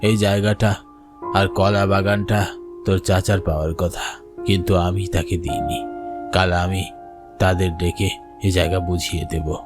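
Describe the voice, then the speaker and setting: A man's voice: sits low, little pitch movement, a little slow, long pauses, music in the background. A man playing a solemn older family patriarch in a horror cartoon, speaking in a weighty manner. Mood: solemn, remorseful. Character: solemn older family patriarch, ghostly grandfather or remorseful father.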